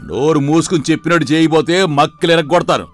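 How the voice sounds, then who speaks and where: A man playing a middle-aged, hot-tempered father and farm master in an animated moral story, speaking emphatically. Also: on the high side, a little fast, faint music behind, lightly acted. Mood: angry, threatening.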